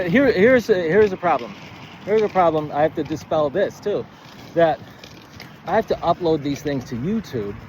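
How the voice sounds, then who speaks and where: Against a noticeable backdrop of outdoor traffic noise, a man with a slightly high voice teaches informally as a writing coach. He rambles and stammers, restarting his thoughts and drifting into digressions as he thinks out loud. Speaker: writing coach, presenter of a video series. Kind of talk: rambling informal lesson to camera.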